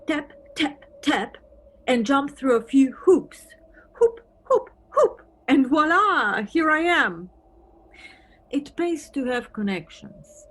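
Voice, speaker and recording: A woman's voice: fairly high pitch, slight background noise, rough recording. A woman playing an eccentric, playful, officious European policewoman in an audio play, theatrical and teasing, with German phrases thrown in.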